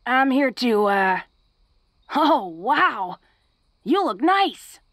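A woman's voice: on the high side, big pitch swings, extremely slow, fairly bright tone, no echo, studio quality, full character voice. A woman playing a tough, flirty, secretly awkward female orc in an intimate romantic audio roleplay, speaking in a hesitant, folksy, teasing way.

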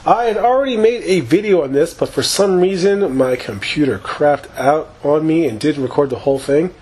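Profane, rambling gamer man, slightly hoarse. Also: on the high side, lively intonation, normal speed, darkish tone. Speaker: gaming YouTuber. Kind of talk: casual gameplay commentary.

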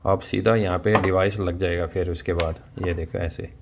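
Guy, lowish voice, casual how-to vlog, walks viewers through it step by step. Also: fairly even intonation, moderate pace, vocal fry, noisy background. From India. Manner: explains step by step, addressing viewers. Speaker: motovlogger presenting a product installation. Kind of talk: casual unboxing and tutorial vlog. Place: small indoor room at home.

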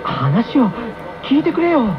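A man's voice: very high voice, wildly swooping pitch, a little slow, exaggerated voice. An actor playing a flustered, pleading teenage boy who stammers and hesitates, in a radio drama. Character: flustered, pleading teenage boy on trial over drunken mistake. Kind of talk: 1990s radio drama acting. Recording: muffled AM radio broadcast, music behind.

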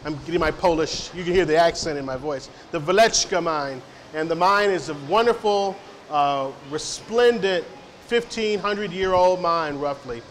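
High-voiced man in a big echoey hall. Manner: friendly, rambling, many fillers and restarts. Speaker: company representative answering audience questions. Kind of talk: informal public Q&A talk. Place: large hall of a science center, indoors. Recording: reverberant hall, faint background noise.

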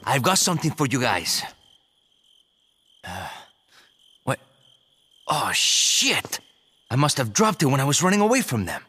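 A male actor playing a cocky, roguish ally in a video game cutscene, with an expressive, sardonic way of talking. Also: mid-range voice, a little slow, pauses often, breathy edge, heavily acted. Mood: casual.

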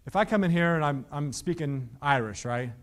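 Man in his thirties, preacher, slightly high voice, lively rhetorical questions, studio stage sermon. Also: normal speed. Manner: animated, asks the listeners rhetorical questions. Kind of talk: Bible teaching sermon. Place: studio stage with painted backdrops.

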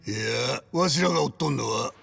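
A man's voice: medium pitch, animated, moderate pace, vocal fry, fairly bright tone, soft background music. A man playing a menacing, mock-polite Kansai yakuza boss in a video game, drawling and taunting in Kansai dialect. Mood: mock-polite menace. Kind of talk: dramatic video game cutscene acting.

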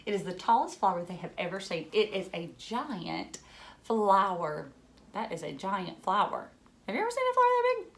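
A woman's voice: mid-range voice, moderate pace. A woman in her thirties reading a picture book aloud to young children, expressively and with dramatic emphasis and little asides. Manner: animated, dramatic gasps and hushed moments, simple questions to children. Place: furnished room at home, indoors.

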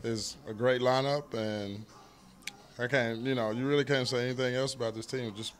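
A man's voice: mid-range voice, steady tone, normal speed. A man, a baseball player, answering post-game questions with a laid-back manner and easy laughter, into a handheld mic indoors. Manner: laid-back, laughs easily, many fillers. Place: indoors in front of a sponsor backdrop. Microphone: handheld interviewer mic.

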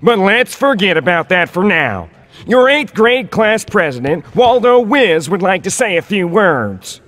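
A man's voice: high voice, big pitch swings, unhurried, fairly bright tone, heavily acted. A man voicing a fussy, reassuring monster school principal with a formal announcing manner in a children's cartoon. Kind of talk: animated children's story dialogue.

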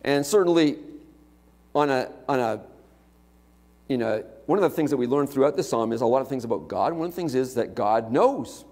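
A man's voice: medium pitch, animated, normal speed, darkish tone. A middle-aged man preaching a sermon in a church, talking conversationally and checking in with listeners with "okay?" and "right?". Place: church sanctuary, large indoor hall. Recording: long reverb of a church hall.

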